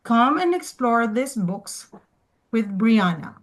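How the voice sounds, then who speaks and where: A woman's voice: a little high, big pitch swings, slow. A woman student presenter reading a scripted, storybook-like introduction to a group presentation.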